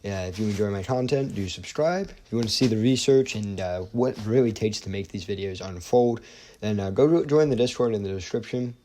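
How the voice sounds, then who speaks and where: Young man with a lowish voice. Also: fairly quick, warm tone, a little hiss or hum. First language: not Norwegian. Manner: hesitant, lots of ums and restarts. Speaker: lore theory video host. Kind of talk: game lore narration.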